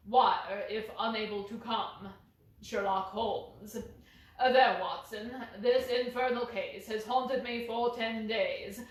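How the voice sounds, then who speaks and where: Brisk, exacting male detective for a story reading, voiced by a woman, higher register, strong room echo. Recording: very echoey room.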